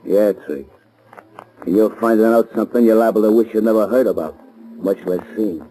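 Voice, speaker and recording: A tough, impatient crook acted by a man in a 1930s radio play. His voice is low and mellow-dark, and he snaps out slangy orders brusquely. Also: moderate pace, lightly acted, poor audio. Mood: bossy, threatening. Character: Rankin, tough impatient male gangster henchman. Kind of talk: 1930s radio drama acting.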